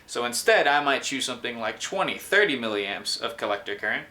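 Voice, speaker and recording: A young man with a bright, forward voice talks through technical material informally. He sounds like a host explaining his own project, at an average male pitch. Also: big pitch swings, a little fast. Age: 20s. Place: small room indoors.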